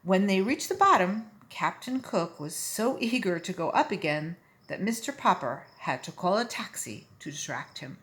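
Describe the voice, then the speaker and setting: A woman reading a children's story aloud in an expressive, emphatic storytelling manner. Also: medium pitch, normal speed, few pauses. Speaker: volunteer story reader.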